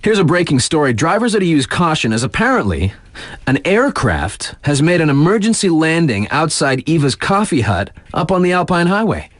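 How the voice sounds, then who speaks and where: An actor playing a laid-back, wry mountain-town radio DJ in a video game, reading mock local news with casual, slangy deadpan asides. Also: male voice, fairly high pitch, normal speed, no room sound.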